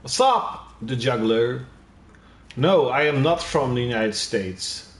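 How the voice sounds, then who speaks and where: Slow-talking Dutch man gaming. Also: mid-range voice, animated, roomy sound. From Netherlands. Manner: slow, loose, chatty with viewers, swears casually. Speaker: gaming streamer. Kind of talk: casual live commentary while playing.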